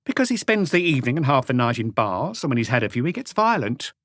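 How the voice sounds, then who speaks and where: A man's voice: mid-range voice, sing-song, quick pace, a bit bright, dry recording, studio quality, pitched above the performer's own voice. A man voicing a defiant, impatient woman who is blunt, scornful and unapologetic, in an audiobook story. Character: defiant, impatient woman, proud of her lover.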